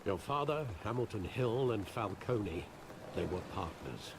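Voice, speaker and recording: Grave older butler, low and measured, lively in pitch, for a game with steady rain noise behind. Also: male voice. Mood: pleading. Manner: measured, somber, explaining. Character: loyal older male butler, grave and weary. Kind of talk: video game dialogue.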